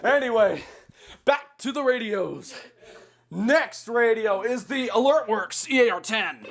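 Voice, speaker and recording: A young man hosting a casual hobby show-and-tell, with an excitable manner and a crude, joking streak. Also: extremely high pitch, measured pace, gravelly, slight background noise. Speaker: video host.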